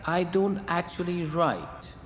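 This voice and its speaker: A man's voice: high-pitched, slow, a little hiss or hum. An actor playing a breezy male muse who talks in airy, teasing clichés in a radio drama. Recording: reverberant room with music behind.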